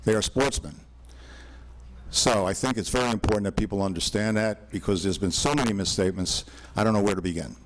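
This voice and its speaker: Loud older man, lawyer at a podium mic. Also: fairly low pitch, slight background noise. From New York, USA. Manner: loud, measured, with frequent uh fillers. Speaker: attorney addressing a town board. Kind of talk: formal public presentation. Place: town hall meeting room. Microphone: podium microphone.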